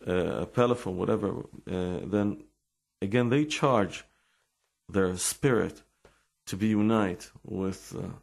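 Deep, gravelly Israeli-accented man, speaking slowly. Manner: halting, repeats words while searching for English. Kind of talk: informal religious talk with personal stories.

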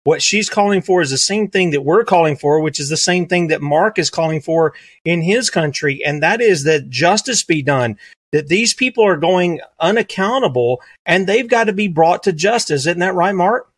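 A man's voice: sits high, lively intonation, moderate pace, no room sound. A man hosting a talk show, speaking in an emphatic, preacherly style of commentary.

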